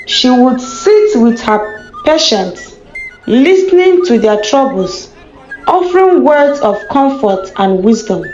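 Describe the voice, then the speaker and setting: A woman storyteller reading a scripted folktale in a measured, careful way, in a dull, echoing lo-fi recording. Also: fairly high pitch, darkish tone. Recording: dull lo-fi sound, roomy echo. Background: background music.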